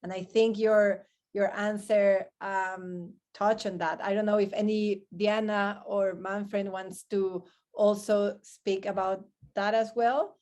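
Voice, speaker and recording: A woman's voice: medium pitch, flat delivery, slow, darkish tone. A woman moderating an online discussion and putting questions to the panel.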